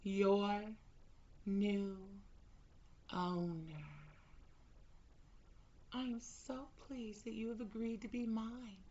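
A woman performing a scripted audio roleplay in a slow, teasing, commanding manner. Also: mid-range voice, dark tone, muffled recording.

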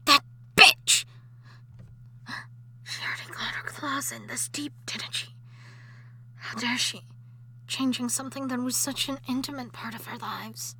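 A woman voicing an obsessive, jealous wife who has come back from the dead, in an audio drama that moves between intimate whispering and shrill shouting. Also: fairly even intonation, normal speed, slight background noise. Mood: seething, nervous. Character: obsessive jealous undead wife, possessive and unstable.

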